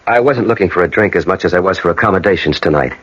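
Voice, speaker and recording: A man's voice: low-pitched, animated, rapid-fire, a bit bright, husky, full character voice. A man playing an earnest American traveller in a radio drama, acting and narrating dramatically with an American accent. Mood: polite, hopeful. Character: earnest, determined American traveller searching in Ireland. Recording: dull lo-fi vintage radio recording.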